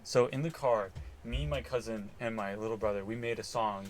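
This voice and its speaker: A young man co-hosting a podcast, easygoing and quick to laugh. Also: fairly low pitch, a little hiss or hum. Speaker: podcast co-host. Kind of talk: casual chat.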